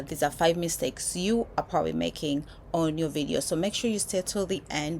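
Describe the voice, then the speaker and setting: A woman's voice: deep voice, a little hiss or hum. A woman from Uganda, a YouTube creator, talking casually to camera with many restarts and fillers. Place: filming while traveling abroad.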